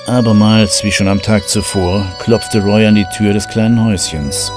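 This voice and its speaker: Low-voiced male horror narrator. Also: steady tone, normal speed, music in the background. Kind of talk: scripted horror audio drama narration.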